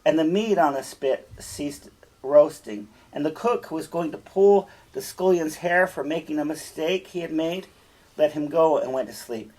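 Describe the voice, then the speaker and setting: A man with a husky voice, speaking as a teacher in an emphatic, deliberate storytelling style, checking in with listeners. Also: fairly high pitch, a little mellow. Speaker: teacher reading and explaining a fairytale. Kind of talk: storytelling read-aloud with explanatory asides.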